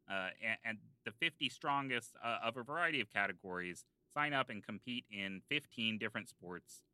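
A man with a very bright, forceful voice on the low side, co-hosting a comedy podcast. He improvises in character, halting and rambling through mock-earnest explanations. The sound is close and dry. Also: expressive, clear, resonant tone, dry recording. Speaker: podcast co-host.

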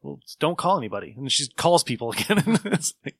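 A man's voice: medium pitch, sing-song, quick pace, vocal fry, a bit bright, breathy edge, dry recording, studio quality. A man hosting a casual podcast chat about old radio shows, rambling along as an enthusiastic storyteller. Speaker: podcast host.

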